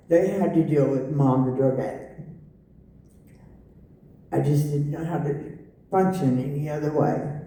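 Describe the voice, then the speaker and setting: A middle-aged woman giving a personal testimony, halting with many fillers and restarts. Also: low-pitched, dark tone. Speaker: testimony speaker. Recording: echoey room, voice from far off.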